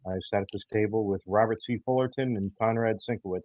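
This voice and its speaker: A man talking in an interview as the creator of an audio theater show, in a halting way that often repeats words and phrases. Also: fairly low pitch, steady tone, no echo. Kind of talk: conversational interview talk. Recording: thin phone-like sound.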